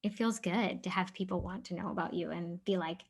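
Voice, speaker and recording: A woman's voice: fairly low pitch, steady tone, fairly quick, slightly rough. Candid woman in casual podcast chat. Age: late 30s. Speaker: podcast co-host being interviewed.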